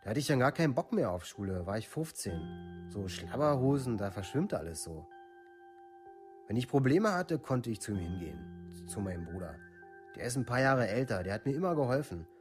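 An actor playing a troubled village youth from a far-right scene in a radio play, speaking colloquially in short clipped phrases. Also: male voice, medium pitch, big pitch swings, normal speed, faint music behind, lightly acted. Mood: subdued, confiding. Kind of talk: documentary radio play monologue.

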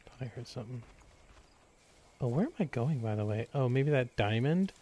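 A man's voice: medium pitch, animated, moderate pace, dark tone. A man doing casual live gameplay commentary, exclaiming, swearing and muttering jokey remarks to himself. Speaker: video game live streamer.

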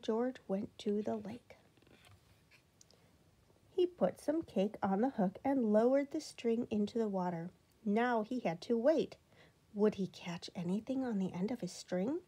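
Lady reading a kids' book out loud at home, takes her time, soft breathy voice, slips into whispers now and then. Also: on the high side. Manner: slow, expressive, with hushed whispered asides. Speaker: storybook reader. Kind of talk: reading a picture book aloud. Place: home, indoors.

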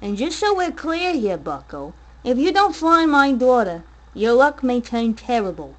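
A woman's voice: on the high side, big pitch swings, a little slow. A tough parent character from a game, acted by a woman. The delivery is hard-boiled and slangy, with a firm note of warning. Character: tough, slangy parent threatening over missing daughter.

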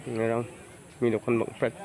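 Man, casual phone vlogger outdoors, medium pitch, easygoing chatter. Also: completely flat delivery, normal speed, darkish tone, low-quality recording. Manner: chatty, greets people as he walks. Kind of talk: casual walking vlog. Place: outdoors at a rural fishing pond fair. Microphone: handheld phone camera.

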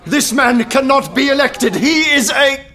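A desperate outraged anarchist, radio drama, really high strained sharp voice, overwrought. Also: male voice, unhurried, heavily acted. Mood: frantic shouting. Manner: overwrought, emphatic. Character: frantic, outraged male anarchist poet, desperate and betrayed. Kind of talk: theatrical radio drama acting.

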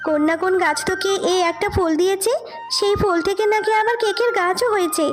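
A woman's voice: very high-pitched, fast, music in the background. A woman playing a stern, suspicious mother in a children's cartoon story. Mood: stern warning.

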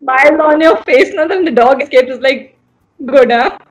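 A woman's voice: sits high, moderate pace, slight background noise, poor audio. A young woman hosting an entertainment interview over a video call, asking chatty, enthusiastic questions. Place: indoor room. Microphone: laptop camera on a video call.

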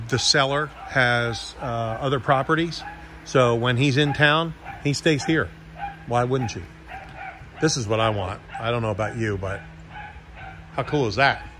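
A man's voice: medium pitch, fairly bright tone, slight background noise. A man working as a real estate agent, talking casually and jokily through an unscripted walkthrough tour. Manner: folksy, offhand, jokey.

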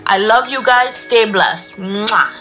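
A young woman speaks to her phone camera held at arm's length, earnest and a little preachy as she addresses her viewers. Her voice sits in a middle range, with some soft music under it. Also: medium pitch, slow pace. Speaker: content creator. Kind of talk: casual talk to camera. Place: indoor room.

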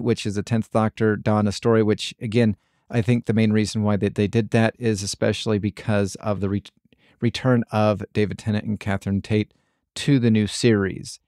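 A man hosting a podcast, talking casually by himself in a halting, rambling way. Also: lowish voice, a little mellow, no room sound, studio quality. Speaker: podcast host. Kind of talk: casual solo podcast commentary.

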